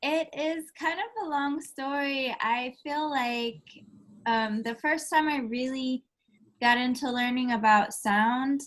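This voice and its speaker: Soft-spoken woman, slow and gentle. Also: high-pitched. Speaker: sound healing therapist guest. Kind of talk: conversational interview answers. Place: podcast recording.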